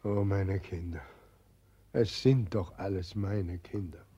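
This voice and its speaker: Brief: a weeping old god nobody believes in, slow, husky and gravelly, dull old radio recording. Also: male voice, low-pitched, warm tone, heavily acted. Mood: grieving. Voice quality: tearful, lamenting. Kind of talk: 1940s radio drama acting.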